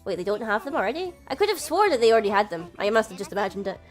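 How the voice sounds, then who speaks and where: A woman's voice: mid-range voice, big pitch swings, racing, soft background music. A woman hosting a gaming video, reading a visual novel aloud and adding casual commentary.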